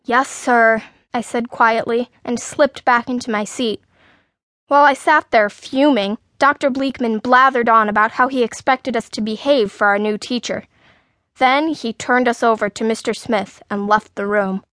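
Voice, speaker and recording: High-voiced woman narrating a children's story. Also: fairly even intonation, moderate pace, fairly bright tone, no room sound, clean studio sound. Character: indignant outspoken sixth-grade girl telling her story. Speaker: audiobook narrator. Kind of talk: scripted first-person children's story narration.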